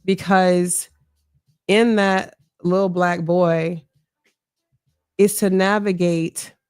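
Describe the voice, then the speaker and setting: A woman's voice: fairly low pitch, level tone, darkish tone, smooth, no room sound, clean studio sound. A middle-aged woman with a strong voice, speaking earnestly and reflectively as an interview guest, often checking in with "right?". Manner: earnest, reflective, measured with frequent "right?" tags. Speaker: mayoral candidate guest. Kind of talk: candid interview talk.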